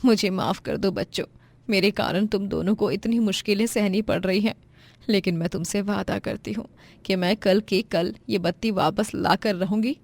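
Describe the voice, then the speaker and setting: A woman playing a gentle, patient, self-sacrificing poor mother, earnest and sentimental, in a moral story. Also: medium pitch, steady tone, moderate pace, close to an everyday voice. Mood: remorseful, reassuring. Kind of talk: dramatised Hindi moral story.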